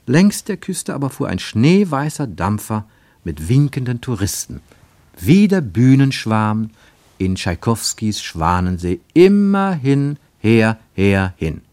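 A man reading scripted literary narration for a radio play, measured and wryly ironic. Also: mid-range voice, very animated, a little mellow, dry recording.

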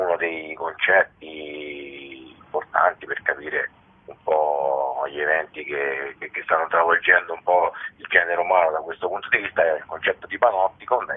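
A man answering interview questions as a documentary director, explaining in a halting way, searching for words. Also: very deep voice, breathy edge. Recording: thin, telephone-like radio line.

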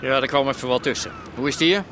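An actor playing a bossy, distrustful underworld boss in a Dutch radio drama, curt and impatient. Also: male voice, mid-range voice, fast talker, noisy background, natural voice. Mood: firm, curt.

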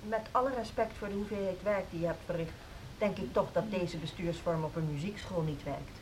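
A woman playing a cautious, conservative music teacher in a radio drama, formal, measured and disapproving. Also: lowish voice, a little mellow, a little hiss or hum, natural voice, rough recording. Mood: firm, objecting. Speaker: actor in a radio play. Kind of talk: naturalistic Dutch radio drama dialogue.

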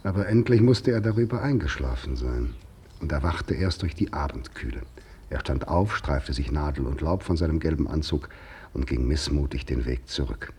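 An actor as the grave, literary narrator of a 1950s German radio drama, with a husky voice. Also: male voice, extremely low pitch, animated, moderate pace, a little mellow, full character voice. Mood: measured. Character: grave, literary male storyteller.

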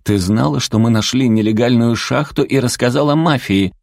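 A man's voice: medium pitch, moderate pace, gravelly, a little mellow, no echo, studio quality, voice pushed up, natural voice. A man reading the part of a tough, protective woman marshal in an audiobook, blunt and incredulous. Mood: accusing. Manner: blunt, challenging.

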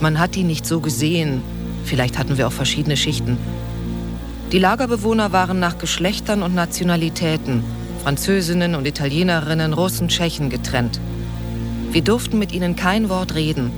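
Man calmly reading a memory over music. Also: on the high side, brisk. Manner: plain, calm recounting. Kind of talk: scripted reading of a first-person memory. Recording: voice under clear music.

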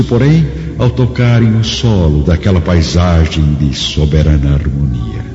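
Um homem narrando uma radionovela com solenidade, em estilo grandioso e compassado. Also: male voice, sits low, dark tone. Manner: grandiose, measured. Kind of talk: solemn scripted radio drama narration. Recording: dull, echoey long reverb, music behind.

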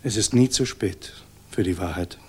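A man acting a reserved military engineer in a 1960s German radio drama, measured, clipped and guarded. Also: fairly low pitch, dark tone, slightly rough. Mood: guarded, grave. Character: reserved, guarded male military aircraft engineer, coolly factual.

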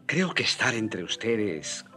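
An actor playing a humble, kindly Spanish friar in a radio drama, speaking in an earnest, respectful and gentle manner. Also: male voice, on the low side, very animated, slow pace, soft background music, full character voice.

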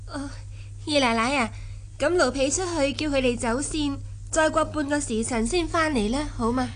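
A woman's voice: high voice, moderate pace, natural voice. A woman playing a deferential, efficient young maid of a rich household in a Cantonese radio drama. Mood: dutiful.

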